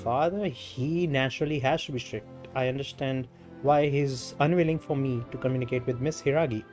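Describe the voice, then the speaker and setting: A man with an Indian accent reading out a polite, earnest young clan official in a fantasy game, in a halting delivery. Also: mid-range voice, a little mellow, faint music behind, close to an everyday voice. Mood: earnest, guarded. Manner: halting read-aloud delivery, repeating stumbled words.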